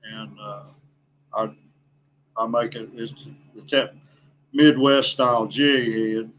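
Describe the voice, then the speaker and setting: Male podcast guest, folksy, halting, plainspoken, mid-range voice, faint background noise. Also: mid-range voice, level tone, a bit bright, low-quality recording. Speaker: podcast guest, veteran angler. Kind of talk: casual chat.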